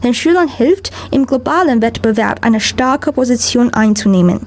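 A woman's voice: fairly high pitch, expressive, normal speed. A young woman, a student presenter, reading a formal prepared report. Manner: reads a formal prepared text. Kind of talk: read-out report.

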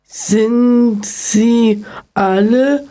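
A woman's voice: fairly high pitch, very slow, dark tone, faint music behind, poor audio. A female radio host reading out her interview questions carefully, word by word.

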